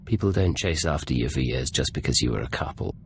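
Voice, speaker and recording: A gruff sci-fi operative with an extremely deep voice that swings in pitch. He is wry and probing, in the manner of a game cutscene. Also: lively intonation, fast, a little hiss or hum. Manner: wry, dry. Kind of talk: video game voice acting.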